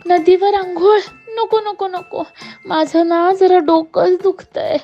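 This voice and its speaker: A woman's voice: very high-pitched, fairly even intonation, takes it slowly, lo-fi sound, faint music behind, voice pushed up, heavily acted. A woman voicing a sly young female cat in a children's story, whining and feigning innocence. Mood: whiny.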